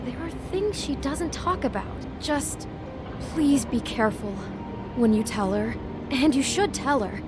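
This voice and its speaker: High-voiced, concerned young woman. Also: animated, a little slow, over loud music. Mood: gentle, cautioning. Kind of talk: dramatic video game voice acting.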